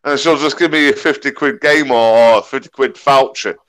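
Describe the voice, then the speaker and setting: A man's voice: mid-range voice, brisk, fairly bright tone. A man, a podcast co-host who talks bluntly and swears freely in casual banter. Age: 40s.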